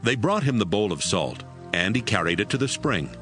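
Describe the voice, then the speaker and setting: A man narrates a dramatized scripture audiobook. His low voice moves with plenty of expression. Music is clearly present beneath the reading. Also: sing-song, brisk, a bit bright.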